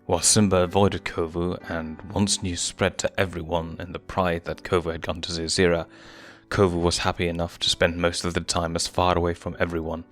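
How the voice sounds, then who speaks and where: A man reading a fan fiction story aloud as a scripted narrator. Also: sits low.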